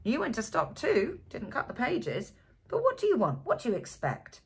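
A woman's voice: a little high, wildly swooping pitch, normal speed, husky, voice pushed up, heavily acted. A woman voicing a stout, drunk, middle-aged man who talks in an eager, insistent, blustery way. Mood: triumphant.

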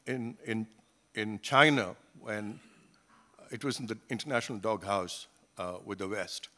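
A man in his sixties from Singapore, speaking at a conference panel table into a gooseneck mic, measured, with frequent fillers and restarts. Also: mid-range voice, sharp tone. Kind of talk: academic panel talk.

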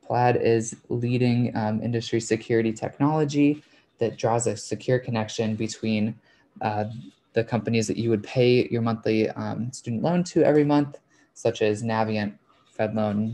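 A man presenting an informal explanatory webinar, walking listeners through things step by step in a creaky voice. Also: on the low side, steady tone, normal speed, warm tone. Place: online video call webinar.

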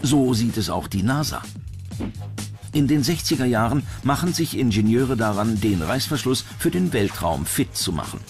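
Lively male documentary narrator over music. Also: mid-range voice, moderate pace. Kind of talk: scripted narration. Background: background music.

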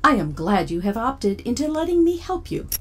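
A woman's voice: a little high, big pitch swings, normal speed, a bit bright. A woman playing a polite, officious computer assistant in an audio drama, speaking in a formal, stilted way. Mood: politely officious. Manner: formal, stilted, scripted helpfulness. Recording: noisy background.

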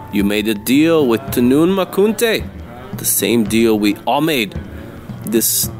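A man's voice: creaky, full character voice. A man voicing a sneering, menacing servant of a demon in a theatrical, mocking manner. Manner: theatrical, mocking, high-pitched.

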